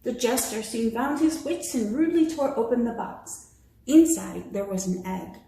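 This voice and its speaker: A woman's voice: on the high side, lively intonation, normal speed, vocal fry, mellow. An American woman reading a bedtime story expressively to children, in a roomy, echoing home recording. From Michigan, United States. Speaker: storybook reader.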